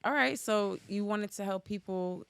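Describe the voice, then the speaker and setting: A woman's voice: medium pitch, moderate pace, gravelly, a bit bright, clean tone. A woman hosting a casual podcast chat, talking in a loose, rambling way with lots of "like". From Norwalk, Connecticut, USA. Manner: chatty and rambling, heavy use of "like". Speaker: podcast host.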